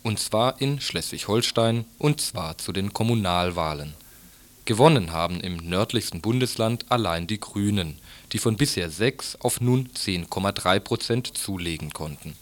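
A man's voice: lowish voice, expressive, normal speed. A man reading scripted radio news reports as a morning show presenter.

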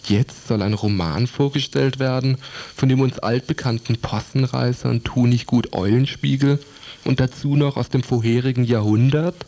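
A man's voice: medium pitch, fairly even intonation, normal speed, dark tone. A man reading a scripted book review for radio.

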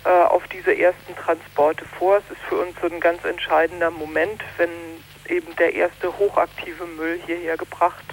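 A woman from northern Germany, a protest organizer, speaking earnestly in a radio news interview and often pausing on fillers and restarting. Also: lowish voice, little pitch movement, a little hiss or hum, rough recording.

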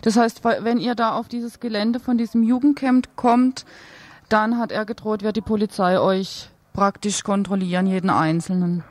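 A woman hosting a live radio interview unscripted, hesitant and pausing as she improvises. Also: medium pitch, fairly even intonation, a little mellow, no room sound.

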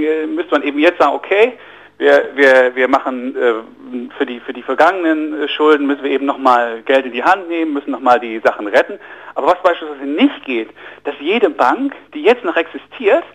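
A man's voice: mid-range voice, fairly bright tone, rough recording. A man guesting on a radio interview, explaining finance in an earnest, explanatory way and often restarting and repeating words mid-sentence.

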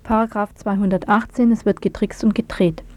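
Lady reading a radio news item, soft dark tone, medium pitch. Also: fairly even intonation, a little fast, dry recording. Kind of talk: scripted news report, read aloud.

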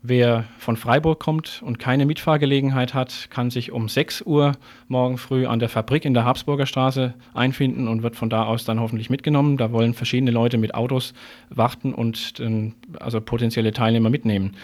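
A man hosting a radio news programme, interviewing and announcing in an informal, improvised way. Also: mid-range voice.

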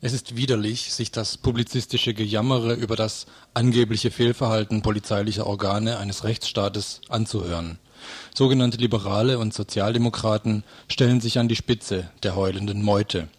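A man with a husky voice reading a scripted political commentary on the radio. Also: on the low side, steady tone, moderate pace, no room sound.

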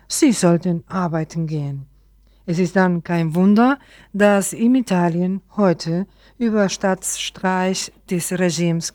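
A woman's voice: on the low side, darkish tone, no echo. A woman reading scripted news items as a radio newsreader.

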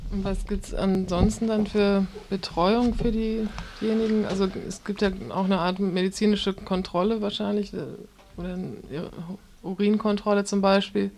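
Even-toned woman asking interview questions. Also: medium pitch, moderate pace, slight background noise.

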